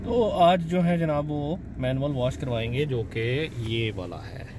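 A middle-aged man from Pakistan, chatting casually in his vlog as he explains things slowly and keeps addressing the viewer politely. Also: medium pitch, expressive, background noise. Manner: chatty, explains slowly, says "janab" often. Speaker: vlogger dad. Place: outdoors at a car wash and in a car.

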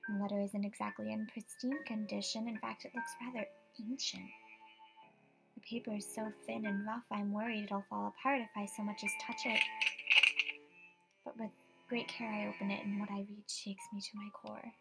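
A woman's voice: mid-range voice, level tone, moderate pace, over loud music, natural voice, poor audio. A woman voicing a frightened, superstitious young estate agent in a horror game, with a dramatic, tremulous delivery. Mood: uneasy, hushed. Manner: dramatic, tremulous, breathy asides, outbursts. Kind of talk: horror visual novel voice acting.

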